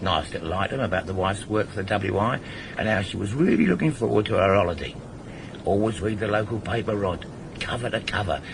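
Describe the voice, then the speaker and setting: A man's voice: on the low side, lively intonation, brisk, gravelly. An actor playing a controlling middle-aged English burglar who instructs his gang insistently, in a naturalistic radio drama. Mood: instructive, firm. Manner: instructive, insistent, repeats himself for emphasis. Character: wily, controlling veteran burglar, father running a small gang. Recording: noisy 1980s radio drama broadcast recording.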